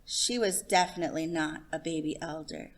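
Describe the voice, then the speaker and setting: Female storyteller for a picture book, slightly low pitch, scripted narration. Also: moderate pace.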